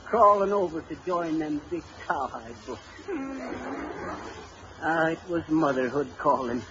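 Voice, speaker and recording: An actor playing a cheerfully cornball, wily husband, telling tall tales in rustic homespun talk in the manner of 1930s radio comedy. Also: male voice, on the high side, a little slow, close to an everyday voice. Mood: fond yarn-spinning. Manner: drawling rustic storyteller with homespun grammar. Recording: dull, noisy 1930s radio recording.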